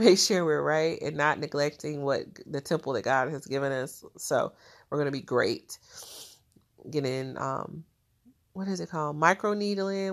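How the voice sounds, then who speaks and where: A woman with a nasal, stuffed-up voice talking in a casual diary vlog, chatty and laughing often. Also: sits low. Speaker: vlogger.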